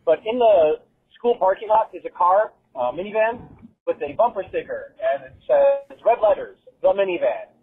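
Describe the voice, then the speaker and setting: A man's voice: sits high, sing-song, moderate pace, breathy edge. A man leaving a casual, hesitant voicemail message over a lo-fi phone line. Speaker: voicemail caller, high school teacher.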